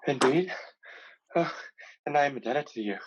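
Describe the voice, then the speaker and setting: A woman playing a wary pirate officer in a staged play reading, deliberate and halting, with dull recorded sound. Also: low-pitched, lively intonation, slightly rough. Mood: shaken.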